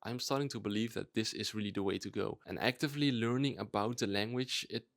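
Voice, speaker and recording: A man's voice: fairly low pitch, fast, vocal fry, no room sound, studio quality. A young Dutch man, a native Dutch speaker, talking in a chatty, enthusiastic explainer vlog with ums and restarts. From Netherlands. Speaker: YouTuber.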